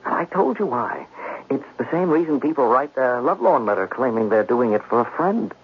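An actor in a 1970s radio drama playing a cultured, scheming gambler with an articulate, evasive manner. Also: male voice, medium pitch, animated, moderate pace, slightly rough. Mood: smoothly persuasive. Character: scheming, cultured gambler plotting murder, feigning bewildered innocence. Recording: muffled, noisy vintage radio recording.

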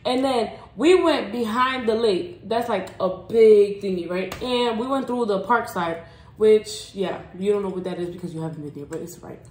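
A woman telling a story as a vlog co-host in casual, bantering conversation, quick to correct and interject. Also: mid-range voice, darkish tone. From Puerto Rican family. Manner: informal, bantering, often correcting co-speaker. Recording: echoey room, clear background noise, clicks.

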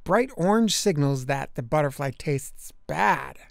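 Guy reading a kids nature book out loud, slightly high voice, dry close recording, really emphatic. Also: animated, unhurried, creaky, dry recording. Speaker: narrator reading a children's book aloud. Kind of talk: scripted read-aloud of a children's nature book.

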